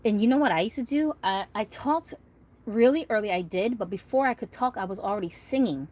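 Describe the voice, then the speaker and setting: A woman's voice: medium pitch, animated, fairly quick, dull audio. Chatty woman vlogger, rambling and friendly. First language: Korean. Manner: chatty, rambling, frequent fillers. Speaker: doll collector vlogger. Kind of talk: casual livestream chat reading comments.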